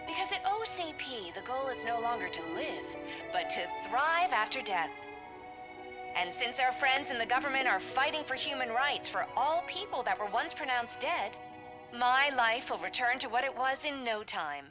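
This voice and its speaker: A polished, cheerful ad spokeswoman speaks with a lively, sing-song pitch. Her voice sits somewhat high. Loud music plays under her in this video game commercial. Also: normal speed. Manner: bright, sing-song advertising delivery. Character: upbeat woman cyborg ad spokesperson, polished and cheerful.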